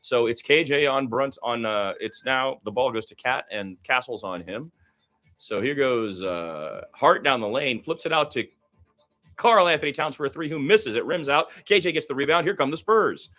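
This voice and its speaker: A man giving live radio-style basketball play-by-play, excitable in fast action and conversational between plays. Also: medium pitch.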